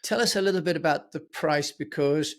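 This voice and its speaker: Gravelly, higher-pitched male podcast host. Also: brisk. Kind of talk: conversational interviewing.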